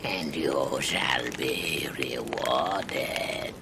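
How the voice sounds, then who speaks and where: A man voicing a sinister, tormented spirit in a video game, coaxing slowly in archaic speech with a breathy, gravelly rasp. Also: a bass voice, background noise, heavily acted. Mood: urgent, beckoning. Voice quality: gravelly, breathy rasping growl. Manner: slow, theatrical, archaic, menacing coaxing. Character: sinister hanged demonic spirit, cunning, tormented, beckoning.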